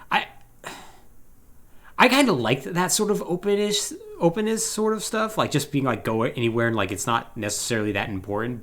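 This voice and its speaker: Animated man chatting about games. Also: medium pitch. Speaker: podcast co-host. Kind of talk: casual video game discussion.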